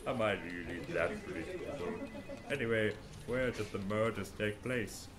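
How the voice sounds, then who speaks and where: A man's voice: on the low side, normal speed, close to an everyday voice. A man playing an arrogant, coolly analytical male detective in an amateur audio drama, speaking in a superior, matter-of-fact way.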